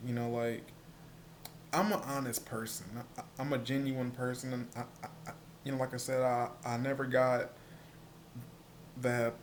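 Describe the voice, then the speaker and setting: A man with a soft voice, stuttering and repeating words as he talks casually to the camera in a personal vlog. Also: medium pitch, flat delivery, slight background noise. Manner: stuttering, halting with many repeated words and fillers. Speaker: vlogger.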